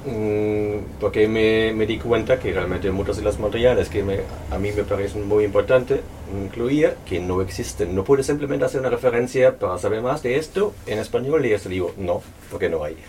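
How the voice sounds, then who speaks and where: A non-native Spanish speaker presenting their book at a public talk, speaking hesitantly and thoughtfully with many pauses and fillers. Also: medium pitch, a little mellow, recorded from a distance, slight background noise. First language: not Spanish. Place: local venue at a public presentation.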